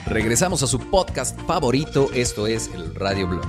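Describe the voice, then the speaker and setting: A man's voice: mid-range voice, very animated, normal speed, loud music behind the voice. A Mexican man hosting a comedy podcast, talking in a conversational, humorous, slangy monologue style.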